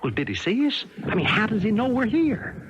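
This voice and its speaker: An actor playing a folksy, excitable young American infantryman in a 1970s radio drama. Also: sits high, fairly quick. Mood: nervous. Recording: muffled, noisy old radio broadcast.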